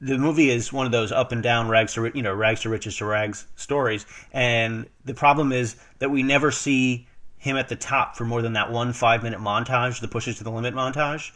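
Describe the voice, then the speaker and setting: A man's voice: medium pitch, steady tone, a little fast, a bit bright. A man hosting an interview podcast, speaking in rambling, filler-heavy sentences. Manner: rambling, heavy use of fillers like "you know" and "uh". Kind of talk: casual interview chat.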